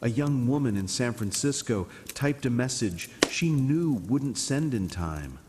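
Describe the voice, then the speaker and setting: A man narrating a scripted bedtime story in a calm, soothing, dramatic way. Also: medium pitch, unhurried, big-room sound, noisy background.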